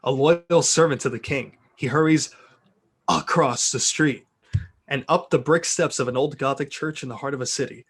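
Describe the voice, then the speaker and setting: A man reads screenplay narration aloud with theatrical flair. His pitch is middling, and he sounds amused, ready to step out of the text for a quick aside. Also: husky. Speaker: reader of narration and stage directions. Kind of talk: dramatic reading of a film script.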